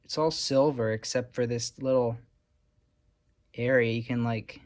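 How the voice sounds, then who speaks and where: A man with a mid-pitched voice talks casually and slowly as he shows things off. His delivery is dry and wry, an unscripted vlogger's commentary with plenty of time between thoughts. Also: steady tone, darkish tone. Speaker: vlogger showing old radio repairs.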